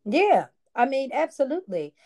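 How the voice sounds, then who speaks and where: A woman's voice: sits high, big pitch swings, normal speed, warm tone. A woman co-hosting a casual chat, making her points emphatically and drawing responses in a preachy call-and-response way.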